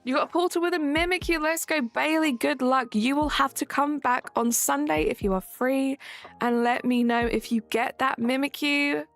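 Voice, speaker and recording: Chatty young woman streaming over soft music. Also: high-pitched, normal speed. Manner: chatty, reads and answers chat while playing. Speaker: live streamer. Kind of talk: casual live stream chat with viewers. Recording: faint background music.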